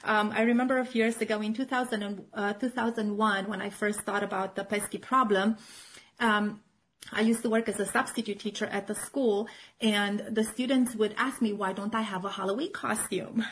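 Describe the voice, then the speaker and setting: A woman's voice: medium pitch, steady tone, normal speed, slight background noise, low-quality recording. A middle-aged woman from Romania, chatting in an interview as a children's author and teacher, full of fillers and restarts. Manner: chatty, many fillers and restarts, laughs often. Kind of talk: casual interview chat.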